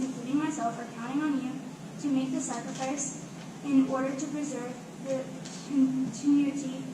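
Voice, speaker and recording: A teenage girl, a student, earnestly reading a formal prepared speech at a public meeting. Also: sits high. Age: teens. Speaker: student speaker at a school board meeting. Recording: echoey room, distant mic, clear noise.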